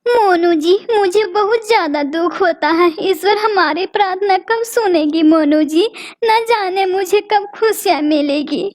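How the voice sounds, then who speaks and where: A woman's voice: extremely high pitch, a little slow. A woman voicing a sorrowful female sparrow in a cartoon who longs for a child and pleads through tears. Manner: pleading, sobbing. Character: sad childless female sparrow, tearful and quick to anger. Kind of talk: cartoon voice acting.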